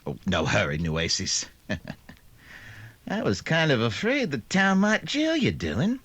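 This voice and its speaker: A man playing a sly, gloating outlaw killer in a western radio drama, mocking and menacing, with a husky, gravelly voice. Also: medium pitch, huge pitch swings, slow, a bit bright, full character voice.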